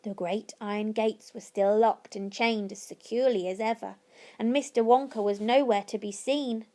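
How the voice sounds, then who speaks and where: A woman reading a children's story aloud as narrator, with expressive, deliberate phrasing. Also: on the high side. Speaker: story reader.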